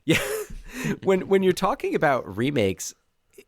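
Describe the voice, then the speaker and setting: A man's voice: on the high side, huge pitch swings, unhurried, no room sound, clean studio sound. A man co-hosting a casual movie podcast, talking animatedly with frequent restarts. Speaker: podcast co-host. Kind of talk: casual chat.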